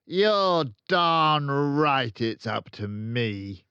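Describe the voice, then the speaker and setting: A man's voice: mid-range voice, expressive, extremely slow, pushed and bright, smooth, no echo, dull audio, pitched above the performer's own voice, exaggerated voice. A man voicing a tyrannical, bullying headmistress who barks and bellows in fury, in a children's storybook reading. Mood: bellowing. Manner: barking, bellowing, snorting contempt. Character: tyrannical, furious, bullying headmistress, a huge middle-aged woman. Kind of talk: children's audiobook character voice.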